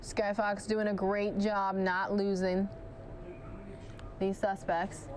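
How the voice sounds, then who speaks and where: A woman news anchor giving live commentary on a police chase, chatting directly with viewers, her voice starting to go hoarse. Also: medium pitch, level tone, takes it slowly, a little mellow, a little hiss or hum. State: losing her voice.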